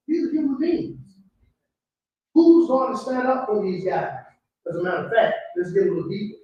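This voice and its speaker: A man's voice: sits high, darkish tone. A man in his fifties making unscripted, rhetorical appeals as an advocate at a live panel. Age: 50s. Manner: rhetorical, exhorting. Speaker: panel speaker, community advocate. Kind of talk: impassioned unscripted public remarks. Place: room at a live community event. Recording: echoey room, distant mic.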